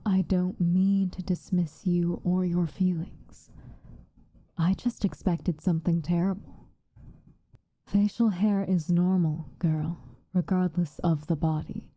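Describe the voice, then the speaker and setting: A woman playing a stern but protective witch in an ASMR roleplay, speaking softly and formally, often in a breathy whisper. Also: on the low side, steady tone, dry recording. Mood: gently reassuring. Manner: measured, formal, gently commanding.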